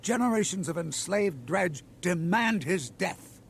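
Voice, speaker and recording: High, creaky mole-creature comrade. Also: male voice, expressive, a little slow, slight background noise, full character voice. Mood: grave, confiding. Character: frightened, grateful Dredge comrade, a mole-like creature. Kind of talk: video game voice acting.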